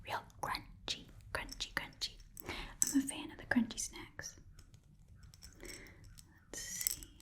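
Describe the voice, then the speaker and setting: A woman voicing a shady, cheerful goblin pawn shop keeper in a breathy ASMR whisper, with casual, rambling sales patter. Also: slow pace, pauses often, a bit bright. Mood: focused. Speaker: ASMR role-play performer. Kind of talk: whispered ASMR shopkeeper role-play.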